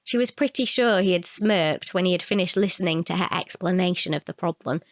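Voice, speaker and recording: A woman's voice: fairly low pitch, fairly quick, no echo, clean studio sound. A woman narrating a scripted short story.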